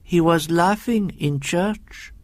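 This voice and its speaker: A frightened, wizened old sacristan, played by a male narrator, speaks in a raised, hesitant voice. He is furtive and halting, a haunted little churchman from a ghost story, recorded close and dry. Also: a little high, a little mellow, no room sound, pitched above the performer's own voice. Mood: fearful, hesitant.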